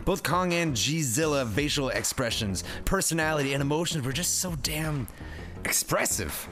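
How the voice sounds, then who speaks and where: A man's voice: on the high side, animated, normal speed, fairly bright tone. A man hosting a comedic, part-scripted movie review in a slangy, sweary, wisecracking way. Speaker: review show host. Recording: music under the voice.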